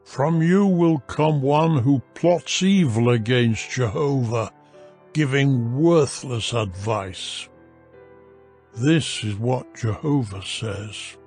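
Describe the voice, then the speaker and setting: A man reads scripture as a dramatized narration, with music behind him. His delivery is extremely slow and solemn, every phrase set out deliberately and declaimed with weight. His voice sits at an ordinary middle male pitch. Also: darkish tone, slightly rough. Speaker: narrator of a dramatized audio Bible.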